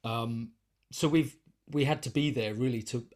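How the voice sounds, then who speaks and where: Male guest for an arts interview: medium pitch, casual explanatory talk, works out phrases as he speaks. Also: moderate pace, a little mellow. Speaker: interview guest, composer and conductor.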